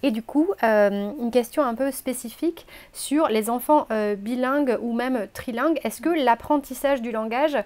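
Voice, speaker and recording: Woman from France, podcast interviewer, sums up answers, probing questions, somewhat high voice. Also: moderate pace, hardly any pauses, darkish tone.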